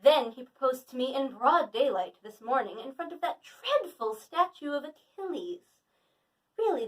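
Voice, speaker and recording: A woman's voice: fairly high pitch, far from the mic, natural voice, low-quality recording. A woman playing a flippant, exasperated young society woman in a theatrical Victorian comedy monologue, arch and emphatic. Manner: arch, emphatic, mock-indignant.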